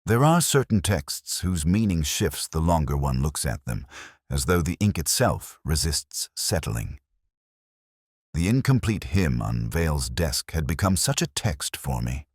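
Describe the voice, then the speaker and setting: A man with an exceptionally deep voice narrates a detective story in the first person. His delivery is measured and brooding, yet the pitch moves expressively. The sound is dry, with very little room to it. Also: breathy edge, dry recording.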